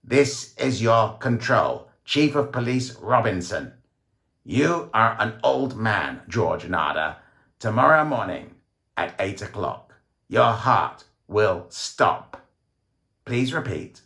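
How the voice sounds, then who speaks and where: A man voicing an arrogant reptilian alien overlord in a short story, croaking and squawking slow, deliberate commands. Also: fairly low pitch, sing-song, sharp tone, heavily acted. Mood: cold, menacing. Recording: roomy, echoing voice recording.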